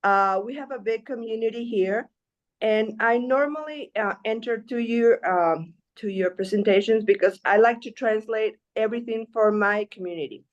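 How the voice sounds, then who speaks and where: A woman from Ecuador asks her question on a call, her speech full of pauses and restarts. Her voice is fairly high and comes through dull, lo-fi call audio. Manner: hesitant, many fillers and restarts. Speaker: caller.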